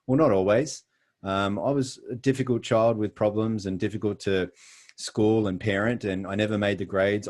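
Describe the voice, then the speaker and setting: A middle-aged Australian man giving an earnest, emphatic sales presentation over a video call, with frequent pauses. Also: on the low side, a little mellow.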